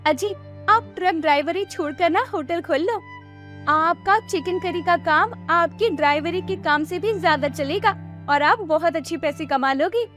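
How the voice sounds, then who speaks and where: A woman's voice: extremely high pitch, a little slow, fairly bright tone, faint music behind, pitched above the performer's own voice. A woman voicing a caring, affectionate village wife with a pleading manner in a cartoon story. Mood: teasing, coaxing. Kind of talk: Hindi cartoon story dubbing.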